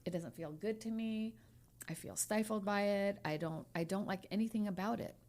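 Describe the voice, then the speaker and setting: A woman's voice: mid-range voice, normal speed, a little mellow. A woman in her sixties, a lawyer co-hosting a podcast, talking frankly and emphatically and repeating phrases as she hunts for words. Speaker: podcast co-host, trial lawyer. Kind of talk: candid podcast conversation.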